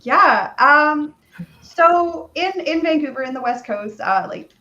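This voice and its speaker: A woman's voice: high-pitched, animated, slow pace, sharp tone, highs cut off. A Canadian woman and guest cosplayer chatting on a video call in a chirpy way. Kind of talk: casual group chat.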